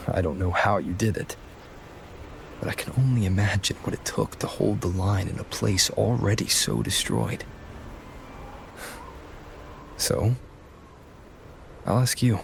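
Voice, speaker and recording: A man voicing a calm, resolute young hero in a video game, earnest and understated in his delivery. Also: on the low side, normal speed, gravelly, pauses often, slight background noise. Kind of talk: scripted video game voice acting.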